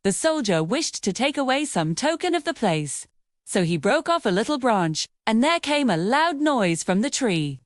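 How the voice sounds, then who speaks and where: High, animated woman storyteller. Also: moderate pace, no room sound, studio quality. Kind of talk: scripted fairy-tale narration.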